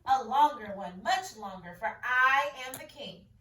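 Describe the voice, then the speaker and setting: A woman's voice: on the high side, expressive, measured pace, pushed and bright, far from the mic. A woman teacher voicing a greedy, haughty king in a storybook read-aloud. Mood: demanding. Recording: echoey room, voice from off-mic.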